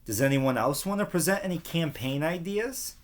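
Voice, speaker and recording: A man voicing an encouraging male school teacher in an expressive storybook reading. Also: on the high side, normal speed, voice pushed up, natural voice.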